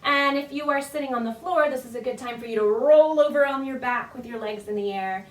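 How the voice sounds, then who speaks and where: A woman's voice: high voice, brisk. A woman children's storytime leader giving friendly, encouraging instructions to parents and babies in a sing-song, demonstrative way. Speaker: children's librarian leading a baby storytime. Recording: roomy echo, distant mic.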